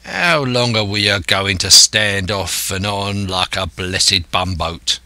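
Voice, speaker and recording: A man voicing an impatient, grumbling pirate coxswain with a surly growl and rough seafaring dialect. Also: deep voice, takes it slowly, bright, forceful tone, no room sound, full character voice.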